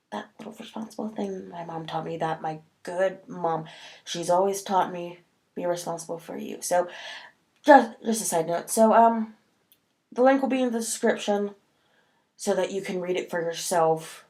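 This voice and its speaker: A woman's voice: on the low side, moderate pace, a little mellow. A woman talking casually to camera in a vlog, sniffly from a cold and rambling with many fillers. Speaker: vlogger.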